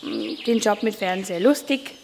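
A woman's voice: mid-range voice, animated, normal speed, creaky, darkish tone, big-room sound, slight background noise. A woman in her twenties, an apprentice, answering casually with some hesitation. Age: 20s. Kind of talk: interview answers.

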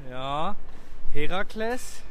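A man's voice: fairly high pitch, expressive, drawn-out words, fairly bright tone, poor audio. A man with a Swiss accent answering street interview questions casually and hesitantly, thinking aloud. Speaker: passer-by being interviewed. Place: outdoors on a city square. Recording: faint urban street noise.

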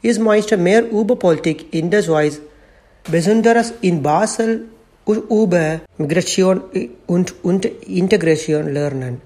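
A man from Sri Lanka, not a native German speaker, reading a personal statement slowly and with care. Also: sits high, a little mellow. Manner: slow, careful non-native delivery. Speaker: community member.

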